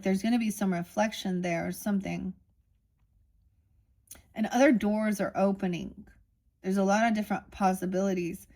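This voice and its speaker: A woman's voice: mid-range voice, darkish tone. A woman giving a casual oracle card reading, musing aloud and reading the card texts. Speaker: tarot reader.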